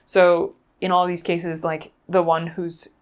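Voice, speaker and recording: A woman being interviewed, recounting cases conversationally in a thoughtful, halting way. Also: lowish voice, level tone. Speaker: interview guest.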